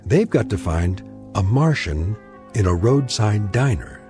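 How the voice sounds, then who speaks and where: A male narrator with a low, dark, mellow voice, delivering suspense storytelling for radio drama. He is measured and portentous, with soft music underneath. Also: expressive.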